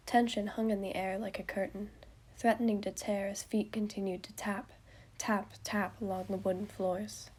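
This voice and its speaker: A woman getting over a cold, reading fan fiction aloud in a hushed, breathy voice. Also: mid-range voice. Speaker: podfic reader.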